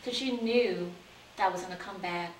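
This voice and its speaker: A woman in her forties, born in Vietnam, a city council candidate telling her life story in an earnest, steady way. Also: on the low side, normal speed. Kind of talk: interview answers, life story. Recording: echoey room, distant mic, faint hiss.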